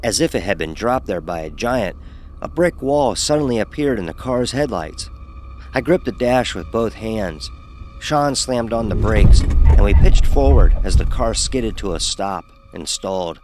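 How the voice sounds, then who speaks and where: Guy playing smug young frat pledge telling a horror story, lowish voice, sarcastic, background noise going. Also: animated, measured pace, darkish tone. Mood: tense. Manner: sarcastic, dismissive. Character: cocky, sneering young fraternity pledge, narrating his 1970s misadventure. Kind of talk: first-person horror story narration with acted dialogue.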